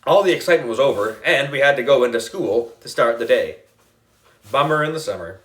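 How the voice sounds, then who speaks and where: A man narrating a children's story aloud in a playful, expressive, exaggerated way. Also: mid-range voice, a little fast. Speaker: audiobook reader.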